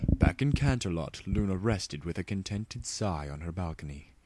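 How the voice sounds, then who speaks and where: A man's voice: low-pitched, expressive, moderate pace, background noise. A man narrating a story as a mock-dramatic comic reading, with wry asides of his own.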